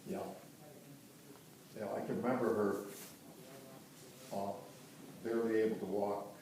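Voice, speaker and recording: A man's voice: lowish voice, flat delivery, darkish tone. Older man reminiscing, far from the mic. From Dearborn, Michigan. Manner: halting, restarts and corrects himself on dates. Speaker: interviewee recounting family history. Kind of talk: casual family reminiscence. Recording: roomy, distant home recording.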